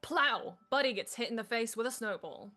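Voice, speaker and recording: A woman's voice: on the high side, animated, moderate pace, sharp tone. A woman reading scripted stage directions as narrator at a comedic live table read.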